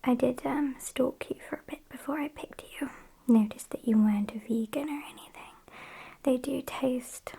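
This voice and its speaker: A British woman playing a sassy, morbid gothic vampire kidnapper in a whispered ASMR roleplay, soft, unhurried and deadpan. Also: sits high, full character voice. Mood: calmly menacing. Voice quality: breathy whisper.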